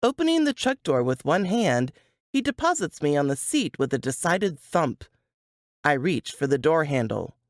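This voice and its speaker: A woman reading a first-person novel aloud as its author, with deliberate, dramatic phrasing. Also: low voice, sing-song, darkish tone, no echo, studio quality. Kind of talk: expressive first-person fiction narration.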